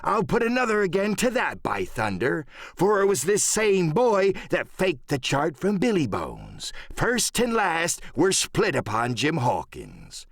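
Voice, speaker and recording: Crafty old pirate, blustery threats, voice swinging all over, dry close audiobook sound. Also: male voice, fairly high pitch, wildly swooping pitch, a little slow, dry recording, voice pushed up, heavily acted. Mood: accusing. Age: older. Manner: blustering, threatening, colourful sailor talk. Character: menacing, cunning one-legged old pirate sea cook.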